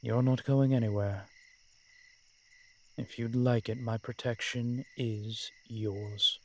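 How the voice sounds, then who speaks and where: A man playing a centuries-old vampire general in a roleplay audio drama, protective and proud, speaking with courtly, measured phrasing and dramatic pauses. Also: medium pitch, steady tone, a little mellow, slight background noise. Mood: protective, resolute. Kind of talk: roleplay audio drama, addressed intimately to one listener.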